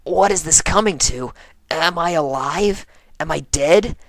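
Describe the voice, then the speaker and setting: A performer voicing a brash but flustered young female pegasus pony from a cartoon fan story, stammering and earnest, with a voice that cracks and turns breathy. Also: medium pitch, unhurried, no room sound, voice pushed up, natural voice. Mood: overwhelmed.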